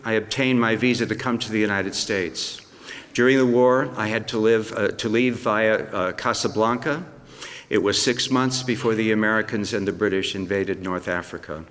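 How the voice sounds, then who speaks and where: An older man, a Holocaust survivor, giving a measured prepared speech from notes on a theatre stage. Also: fairly low pitch, a bit bright, long reverb tail.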